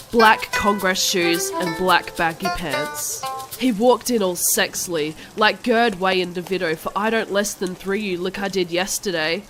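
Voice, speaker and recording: A woman's voice: medium pitch, lightly acted. Melodramatic teen goth girl played by a woman, music behind. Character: melodramatic, gushing teenage goth girl vampire narrator. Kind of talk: dramatic fan fiction narration for animated parody.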